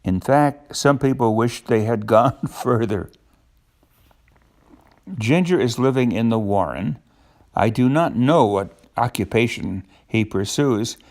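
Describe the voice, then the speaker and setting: Gravelly older man reading a storybook. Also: medium pitch, slow, a little mellow. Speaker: storybook narrator.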